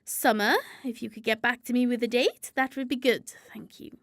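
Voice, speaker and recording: A woman's voice: a little high, steady tone, moderate pace, a bit bright, dry recording, studio quality. A woman acting a bossy, pompous season spirit in a comedic radio drama, speaking formally and officiously. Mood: brisk. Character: Spring, prim bossy female season chairing a meeting.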